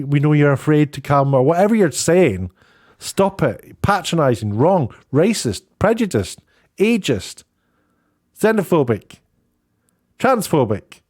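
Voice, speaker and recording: A man's voice: mid-range voice, darkish tone. A middle-aged man giving an opinionated vlog rant, informal and emphatic, thinking aloud in stop-start phrases. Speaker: YouTube commentator and host.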